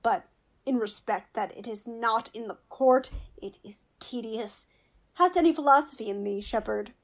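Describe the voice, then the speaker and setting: A woman's voice: fairly high pitch, moderate pace. A woman playing a witty, pompous male court fool who teases with mock logic in a Shakespearean radio play. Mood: wry.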